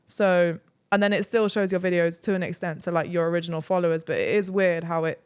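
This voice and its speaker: A woman's voice: fairly low pitch, flat delivery, fast talker, clean tone. A young woman from England chatting casually as a podcast guest, rambling with lots of fillers and easy laughter. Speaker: travel vlogger guest.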